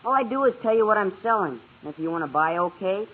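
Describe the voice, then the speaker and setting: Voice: medium pitch, lively intonation, quick pace, close to an everyday voice. An actor voicing a cheeky young boy peddling Christmas trees with wheedling salesman patter in an old radio comedy. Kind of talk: 1940s-50s radio comedy acting. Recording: tinny noisy old radio, studio audience.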